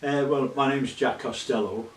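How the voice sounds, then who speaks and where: A man's voice: medium pitch, steady tone, moderate pace. A man in his eighties introducing himself in an unscripted interview. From Ireland, moved to Bootle as a child. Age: 80s. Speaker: documentary interviewee. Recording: roomy, distant mic, faint noise.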